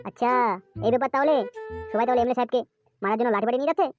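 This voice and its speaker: Woman as treacherous male cartoon gossip, high voice, very quick, crude, lecherous. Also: darkish tone, lo-fi sound, faint music behind, natural voice. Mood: sly, scheming. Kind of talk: amateur cartoon dubbing.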